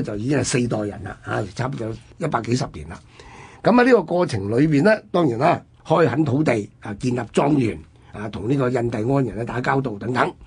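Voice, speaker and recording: Male radio host, Hong Kong accent, very husky, conversational explainer of history, mid pitch.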